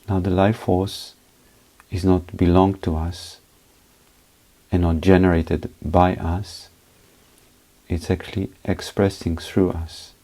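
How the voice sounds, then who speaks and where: Male meditation teacher, deep gravelly voice, very slow short phrases, long pauses. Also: level tone, dark tone, poor audio. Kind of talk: guided meditation instruction.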